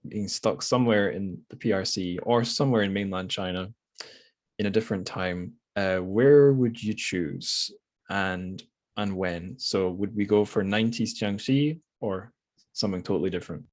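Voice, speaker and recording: Low-pitched man hosting a casual podcast. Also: moderate pace, darkish tone, no echo. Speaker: podcast host. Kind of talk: casual literary interview.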